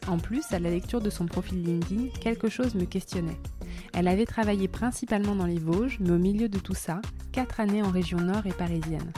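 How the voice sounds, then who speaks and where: A woman hosting a podcast, reading her introduction and then asking relaxed questions with a few fillers. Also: mid-range voice. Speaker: podcast host. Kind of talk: scripted intro then interview.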